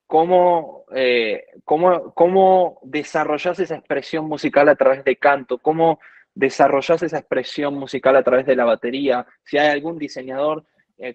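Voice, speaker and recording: A man's voice: a little high, a little mellow, dry recording, highs cut off. A man from Argentina with an Argentine accent, a guest worship minister teaching earnestly in conversation and quoting scripture at length. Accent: Argentine Spanish.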